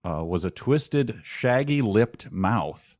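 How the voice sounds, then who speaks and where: A man's voice: lowish voice, animated, slow, darkish tone. A man co-hosting a podcast, casual and wry, giving mock-serious readings with joking asides. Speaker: podcast co-host. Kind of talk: casual comic book discussion.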